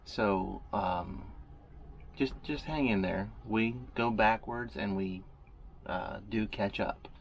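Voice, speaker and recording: A man's voice: lowish voice, slow, gravelly, highs cut off, slight background noise. A man who installs solar systems, co-hosting a camper vlog in a laid-back, joking way from inside a small camper. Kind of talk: casual chat to camera.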